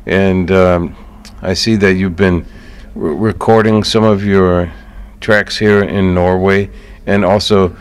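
A man's voice: sits low, steady tone, takes it slowly, clean tone. A man with an American accent hosting a conversational radio interview in an easygoing, encouraging way. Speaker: radio show host.

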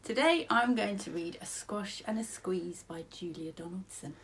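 A woman's voice: medium pitch, normal speed, background noise. A woman, reading a children's story aloud expressively, like a primary school teacher. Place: small furnished room at home.

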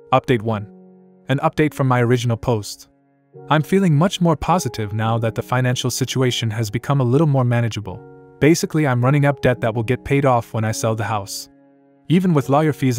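A man in his forties reading a first-person account aloud in a calm, even way. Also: medium pitch. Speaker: narrator. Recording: soft music underneath.

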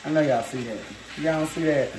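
A woman's voice: sits low, brisk. A young woman hosting a casual home cooking vlog, chatting and teasing as she talks straight to her viewers. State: tired arm while mixing. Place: home kitchen. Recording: echoey kitchen with background noise.

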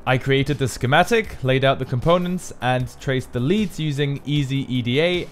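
Male maker, mid-pitched, scripted explainer narration for a build video, music underneath.

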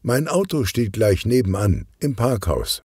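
A man voicing a sporty, friendly police officer in a children's audiobook, with an animated, earnest manner. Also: fairly low pitch, measured pace, husky, no room sound, studio quality, pitched above the performer's own voice, heavily acted. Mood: cheerful greeting.

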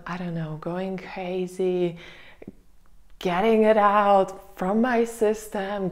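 A young woman vlogging casually to camera from her living room, chatty and full of pauses and fillers, on a lapel mic. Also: fairly low pitch. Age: young adult. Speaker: vlogger host. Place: small living room indoors. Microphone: lapel mic clipped to her top.

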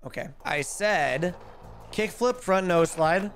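A young man in his twenties streaming a skateboarding game, chatty and energetic, calling out his tricks, a bit hoarse. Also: high voice, slow, faint music behind. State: losing his voice. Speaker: gaming streamer. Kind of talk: live gameplay commentary. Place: home streaming room. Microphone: headset.

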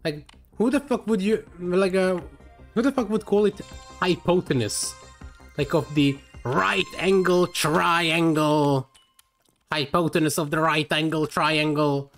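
A man's voice: fairly high pitch, slow pace, pauses often, a bit bright, soft background music. A young man from Hungary with a Hungarian accent, streaming a game live and chatting in a rambling way with lots of fillers, tired late in the session. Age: young adult.

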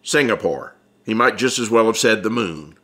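Animated male audiobook storyteller. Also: on the low side, normal speed. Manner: vivid, theatrical storyteller. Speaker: author reading his own audiobook. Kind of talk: dramatic scripted storytelling narration.

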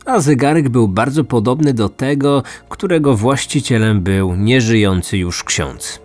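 A man's voice: mid-range voice, measured pace, darkish tone. A man narrating a scripted true-crime podcast as its host. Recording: music under the voice. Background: background music.

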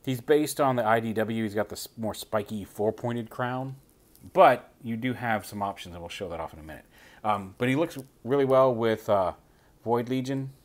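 A man's voice: medium pitch, normal speed. A man reviewing a toy, casually explaining each step while he handles it at a tabletop. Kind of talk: hands-on toy review walkthrough.

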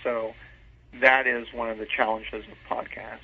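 A man's voice: mid-range voice, little pitch movement, a little slow, vocal fry. A man speaking casually and a little hesitantly as an interview guest, self-deprecating about his radio drama work. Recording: dull phone-line sound.

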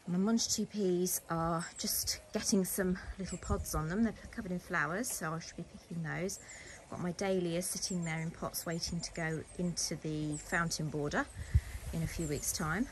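A woman's voice: on the low side, noisy background. A woman with an English accent giving a chatty, casual commentary as she shows viewers around, recorded outdoors on a handheld device. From England. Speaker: gardening vlogger. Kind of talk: casual garden tour commentary. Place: outdoors walking around a garden. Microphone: handheld phone or camera behind the lens.

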